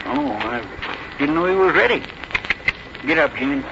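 Rustic wagon driver, high-pitched, folksy, halting, vintage radio drama, muffled old recording. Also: male voice, lively intonation, background noise. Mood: unhurried. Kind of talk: 1950s radio drama acting. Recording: dull old radio recording, loud music.

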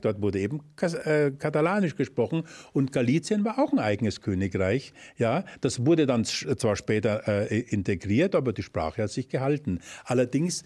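A man's voice: medium pitch, a little mellow. An older man explaining history in conversation, hesitant with many fillers, in a lecturing way. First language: Spanish-fluent German speaker. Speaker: expert guest.

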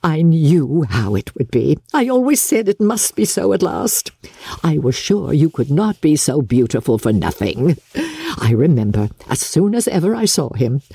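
A woman voicing an overjoyed, excitable middle-aged mother in a storybook reading, effusive and full of exclamations. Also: low-pitched, gravelly, slightly rough, no echo, heavily acted.

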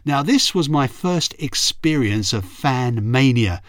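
A middle-aged man with a croaky, hoarse voice, a radio reporter narrating his memories in a friendly, chatty way. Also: mid-range voice, animated, slow pace, a bit bright, dry recording, clean studio sound. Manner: friendly, chatty, self-deprecating. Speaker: radio reporter and podcast host. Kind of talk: reflective storytelling narration.

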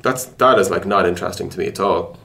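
Deep creaky-voiced man chatting about work. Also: fast talker, pushed and bright. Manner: conversational, explanatory, hesitant searching for words. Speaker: tech product executive being interviewed. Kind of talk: casual podcast interview chat.

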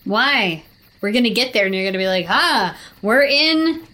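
A woman's voice: a little high, expressive, normal speed, pushed and bright, pitched above the performer's own voice. A woman voicing a young male cult recruit who talks back sarcastically, over faint music. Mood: snarky.

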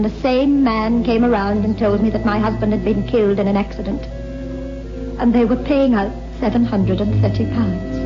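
An actress playing a grieving, lonely widow in a 1960s radio horror drama, speaking in an earnest, anguished, dramatic way. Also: female voice, medium pitch, natural voice. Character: grieving, lonely widow haunted by a cursed wishing stone. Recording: dull old radio recording with loud music.

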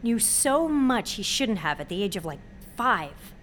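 A woman's voice: mid-range voice, very animated, measured pace, fairly bright tone. Wry young woman played by an actress, background noise. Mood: earnest, guarded. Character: intense, wry young woman in her twenties, guarded. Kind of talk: naturalistic audio drama dialogue.

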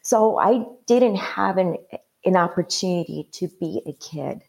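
A woman from Mexico telling her life story as an interview guest, earnest and halting, with many fillers. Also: fairly low pitch, gravelly, darkish tone.

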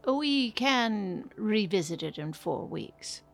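A stern female studio boss, coolly cutting and condescending. She speaks slowly in a mid-pitched, creaky voice, as in a scripted audio drama. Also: animated. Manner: measured, condescending.

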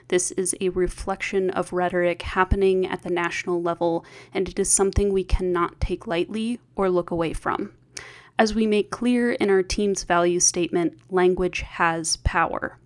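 A woman's voice: lowish voice, darkish tone. A woman reading a scripted podcast introduction as host.

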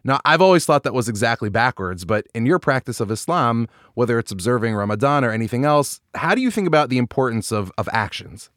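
A man hosting an interview podcast, speaking articulately with enthusiastic, lively emphasis. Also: mid-range voice, fast, hardly any pauses, no room sound, clean studio sound. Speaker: podcast host.

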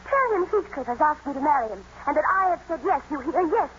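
A woman's voice: sits high, lively intonation, fast, slight background noise. An actress playing a defiant, impassioned young lady in love, haughty and heated, in old radio drama style. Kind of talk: 1940s-50s radio drama acting. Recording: muffled old radio broadcast.

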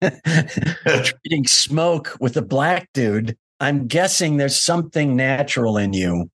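A man hosting a podcast in a casual interview, wry and chiming in with jokes. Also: mid-range voice. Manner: slow, wry, chimes in with jokes. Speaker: podcast host.

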